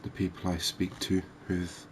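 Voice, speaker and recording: Male ASMR game host, soft and unhurried, very low register, monotone delivery. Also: background noise. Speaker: gaming video host.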